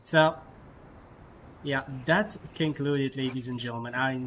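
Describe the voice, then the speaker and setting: A man hosting a game livestream with casual, halting commentary, often repeating himself. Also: medium pitch, fairly bright tone, slight background noise. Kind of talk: casual live gameplay commentary.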